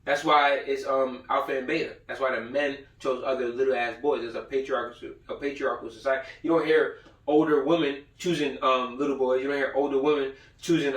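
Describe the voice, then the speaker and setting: A man's voice: medium pitch, fairly even intonation, moderate pace. A man giving an informal lecture, reading passages aloud and commenting in blunt, profane slang, repeating himself for emphasis. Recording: roomy echo, far from the mic.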